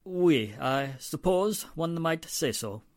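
A man's voice: on the high side, expressive, slow pace, no echo, clean studio sound. A man playing a polite, reluctantly confiding Frenchman from a café, with a French accent, in 1940s radio drama style. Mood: reluctant, confessing.

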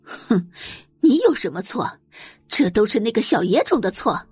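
A woman's voice: mid-range voice, big pitch swings, moderate pace, a little mellow, husky, no room sound, faint music behind. A woman voicing an angry, scornful middle-aged mother in an audio novel, huffing and ranting indignantly. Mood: scornful doting. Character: angry, scornful middle-aged adoptive mother, doting on her own daughter.

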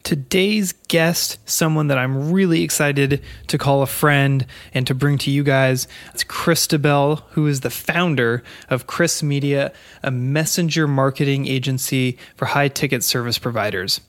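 A man's voice: medium pitch, a little slow, hardly any pauses, no echo. A man hosting a podcast, introducing guests and talking directly to listeners in an informal way. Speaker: podcast host.

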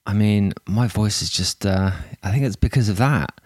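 English guy, bit hoarse, low voice, rambly podcast chat, dry close sound. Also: normal speed, a little mellow, dry recording. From England. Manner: rambling, many restarts and fillers. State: slightly hoarse. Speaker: podcast host. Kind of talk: casual monologue intro.

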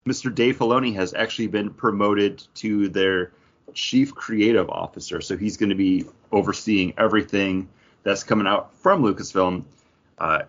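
A man's voice: fairly low pitch, moderate pace, a bit bright. A man co-hosting a podcast, giving his opinions in casual conversation. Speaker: podcast co-host. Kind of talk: casual chat and opinion on films and TV. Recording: dull lo-fi sound.

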